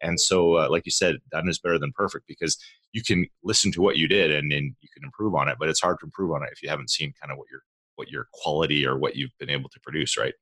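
A man in his forties, a guest on a podcast, chatting in an animated way and repeating words as he goes. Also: very deep voice, fast, husky, no room sound. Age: 40s. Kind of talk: casual interview chat.

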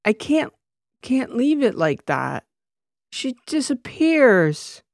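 A man voicing a drunken female socialite who slurs and wheedles in a high voice. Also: sing-song, creaky, no room sound, clean studio sound, full character voice. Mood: drunk pleading. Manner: slurred, wheedling, drawn-out words. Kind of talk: audiobook character voice.

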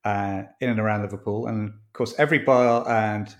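A man's voice: fairly low pitch, steady tone, brisk. A man in his sixties from near Manchester with a northern English accent, telling stories as an interview guest in a rambling, chatty way with many ums. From northwest England, near Manchester. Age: 60s. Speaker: author and podcast guest. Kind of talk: casual interview chat.

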